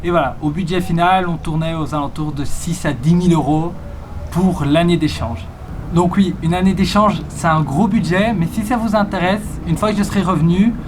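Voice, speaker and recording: A teenage man from Belgium, chatting casually as he answers viewer questions about his exchange year. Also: high voice. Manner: chatty, reads out questions then answers them. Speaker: exchange student vlogger. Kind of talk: casual vlog Q&A. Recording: roomy echo with faint ambient noise.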